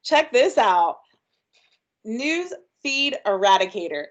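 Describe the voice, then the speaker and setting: A woman's voice: a little high, big pitch swings, slow, lo-fi sound. A woman giving an upbeat, chatty webinar presentation with frequent ums. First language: English. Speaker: workshop presenter.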